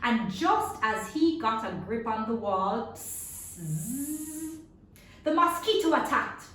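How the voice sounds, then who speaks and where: A woman with a high voice reads a children's story in a very reverberant room, some distance from the microphone. Her delivery is dramatic and expressive, made for young listeners. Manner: expressive, dramatic, with drawn-out sound effects and questions to listeners. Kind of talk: animated read-aloud storytelling for young children. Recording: very echoey room, distant mic.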